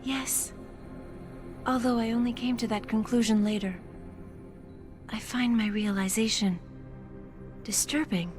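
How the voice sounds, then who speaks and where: An actress playing a poised, enigmatic female news anchor in a video game, speaking in a measured, formal, polite way. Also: a little high, steady tone, music in the background, close to an everyday voice. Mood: regretful.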